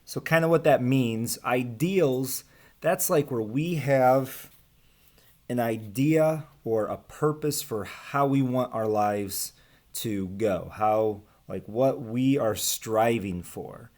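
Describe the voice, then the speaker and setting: An American man in his thirties teaching casually to camera, explaining things in plain, simple words with pauses. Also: mid-range voice, darkish tone. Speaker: teacher reading aloud to students. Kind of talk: casual read-aloud lesson to camera. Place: small room at home with bookshelves. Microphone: webcam or laptop microphone at desk distance.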